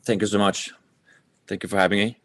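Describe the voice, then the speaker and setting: A man's voice: on the low side, level tone, fairly quick, fairly bright tone, no echo. A man, answering interview questions in a loose, conversational way as a company executive. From Copenhagen, Denmark. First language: Danish. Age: 30s. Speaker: company executive, podcast guest.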